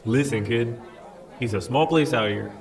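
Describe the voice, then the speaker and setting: A man's voice: medium pitch, normal speed, creaky, lightly acted. Man acting a chatty, jovial veteran major. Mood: friendly. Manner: jovial, prying, informal. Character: chatty, friendly veteran special ops major. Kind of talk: audio drama acting.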